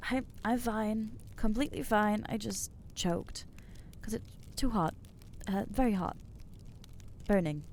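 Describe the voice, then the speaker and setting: A woman voicing an anxious, guilt-ridden young female vampire in an ASMR roleplay, speaking haltingly and stammering as she reproaches herself. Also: mid-range voice, darkish tone, slight background noise, close to an everyday voice. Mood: flustered.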